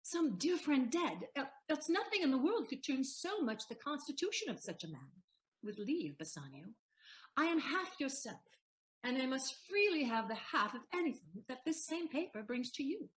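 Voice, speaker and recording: A woman's voice: high-pitched, big pitch swings, normal speed. An actress playing a commanding, devoted and generous lady in a Shakespearean audio play, theatrical and decisive. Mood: concerned, then insistent.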